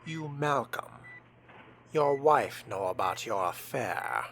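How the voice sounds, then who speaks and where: A man's voice: medium pitch, lively intonation, slow, fairly bright tone, slight background noise. A man role-playing through a game in character, reading and commenting in a formal, arch, often murmured way. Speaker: game player narrating in character.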